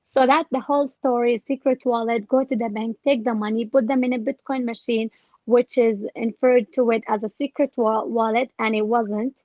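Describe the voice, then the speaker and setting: A woman telling a personal story as an interview guest, casually and with animated retelling of exchanges. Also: a little high, normal speed, no echo, highs cut off. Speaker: interview guest, scam victim.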